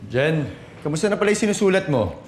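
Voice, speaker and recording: An actor playing a caring, playful young boyfriend in a romantic drama, coaxing in casual Taglish with a Filipino accent. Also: male voice, a little high, big pitch swings, fast talker, a little hiss or hum. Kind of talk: naturalistic romantic TV drama acting.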